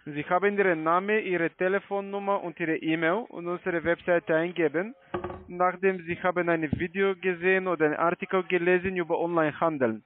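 A man with a foreign, non-native accent making a polite, halting sales call in broken grammar. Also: high voice, steady tone, no echo. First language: not German. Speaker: call center scam caller. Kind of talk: semi-scripted sales phone call. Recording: tinny narrowband phone line.

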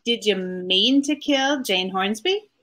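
A woman's voice: medium pitch, animated, takes it slowly, fairly bright tone. A middle-aged woman playing a cool, dryly witty detective's wife in 1940s radio comedy style. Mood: cool, probing. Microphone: seated before camera on video call.

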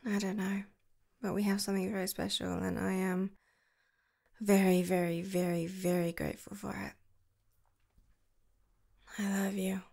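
A young woman doing a girlfriend roleplay to the listener, soft and affectionate, giggling and slipping into whispers. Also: mid-range voice, level tone, a little slow, gravelly, pauses often. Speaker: ASMR roleplay creator.

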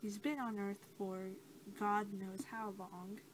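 A young woman doing soft-spoken ASMR roleplay as a scientist, talking in a casual, rambling, hesitant way. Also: medium pitch, steady tone, slow. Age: young adult. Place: small cluttered room indoors. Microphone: camera mic at about arm's length. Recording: clearly noisy amateur camera audio.

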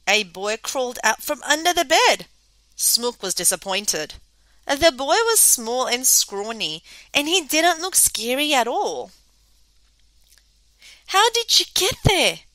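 A woman reading a children's story aloud as an expressive storyteller. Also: a little high, a little slow, no room sound.

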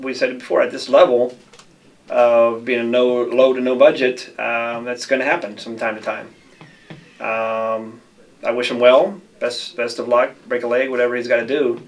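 A man speaking in a casual interview as a member of a film crew. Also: medium pitch. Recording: distant microphone.

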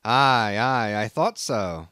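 A man voicing a gruff, suspicious old whaling captain who blusters in archaic thee-and-thou speech. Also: fairly low pitch, slow, bright, forceful tone, no room sound, clean studio sound. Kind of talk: audiobook character voice.

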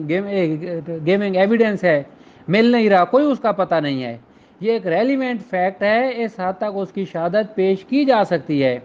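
A man with a Pakistani accent giving an informal law lecture, explaining things and mixing in English legal terms. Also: high voice. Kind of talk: informal lecture, reading statutes aloud and explaining them. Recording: dull lo-fi recording.

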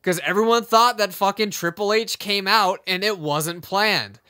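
Bright-voiced young man, quick and joking. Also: high-pitched, clean tone. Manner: quick, joking, swears freely. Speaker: podcast co-host. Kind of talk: casual chat. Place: room with shelves of movies and comics.

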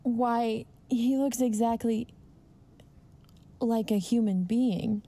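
A woman's voice: a little high, fairly even intonation, slow pace, background noise. A woman playing a serious, cautious doctor in an old-time radio play, earnest and questioning. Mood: wary. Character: cautious, serious female doctor, alarmed skeptic.

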